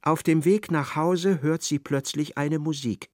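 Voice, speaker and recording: A man playing a wry literary narrator in a radio drama, expressive and ironic as he slips into a character's thoughts. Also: fairly high pitch, measured pace, dry recording, clean studio sound, natural voice.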